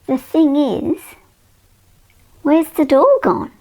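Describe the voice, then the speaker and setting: A woman voicing a small male pixie in a storybook, animated and excitable, exclaiming as he talks. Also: high voice, takes it slowly, highs cut off, pitched above the performer's own voice, full character voice. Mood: alarmed.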